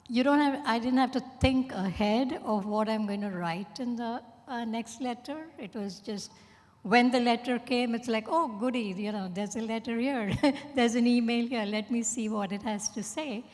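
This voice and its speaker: A woman speaking conversationally as a fiction writer, explaining her process without a script. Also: medium pitch. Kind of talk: unscripted conversational talk about writing. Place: event hall at a hotel. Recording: echoey hall with long reverb.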